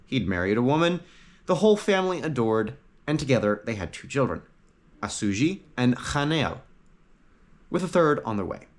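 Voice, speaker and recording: Animated male fantasy storyteller. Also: mid-range voice. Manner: dramatic pauses, short emphatic phrases. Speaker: audiobook narrator. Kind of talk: scripted fantasy story narration.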